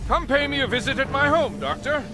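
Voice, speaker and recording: A man's voice: very high voice, fairly quick, music in the background. An actor playing a smug, taunting male villain in a video game, mocking and theatrical. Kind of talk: video game cutscene acting.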